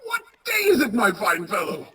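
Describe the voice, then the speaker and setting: A woman playing an excitable, repentant old male miser in a radio play, stammering and exclaiming. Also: medium pitch, moderate pace, husky, full character voice. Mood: giddy, overjoyed. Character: frightened old male miser, desperately repentant, then giddy.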